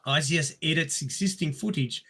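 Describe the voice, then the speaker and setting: Bright-voiced man recapping films, sore throat. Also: fairly high pitch, a little fast, slightly rough. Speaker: podcast host. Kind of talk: casual movie discussion and plot recap.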